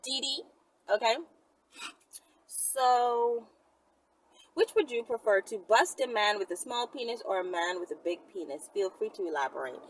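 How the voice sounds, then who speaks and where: A woman's voice: on the high side, lively intonation, normal speed, long pauses, low-quality recording. A woman talking casually and candidly to her viewers as a vlog host, with blunt, slangy, profane phrasing. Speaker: channel host answering viewers' questions.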